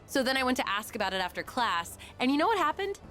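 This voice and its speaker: A woman's voice: sits high, big pitch swings, fast talker, bright, forceful tone, faint music behind, full character voice. A woman playing an upbeat, sporty teenage schoolgirl in a video game, chatty, frank and playful. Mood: exasperated, amused. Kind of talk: English video game voice acting.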